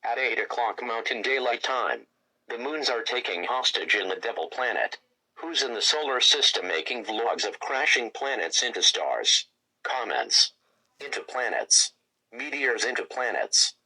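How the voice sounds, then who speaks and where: A man's voice: mid-range voice, big pitch swings, unhurried, bright, forceful tone, slightly rough, heavily acted, rough recording. A man playing an urgent emergency news announcer, reading a scripted bulletin in a formal way. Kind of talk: scripted emergency broadcast narration.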